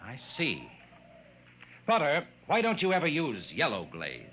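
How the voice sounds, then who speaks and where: Earnest, animated young aide. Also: male voice, fairly high pitch, unhurried, slight background noise, full character voice. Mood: curious. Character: earnest, cautious young male aide and narrator. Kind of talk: 1950s radio drama acting. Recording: vintage radio recording with music.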